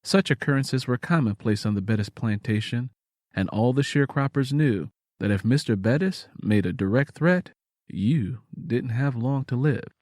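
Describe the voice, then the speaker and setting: A man's voice: mid-range voice, lively intonation, normal speed, darkish tone, no echo, clean studio sound. A man narrating a scripted audio story in a storytelling style.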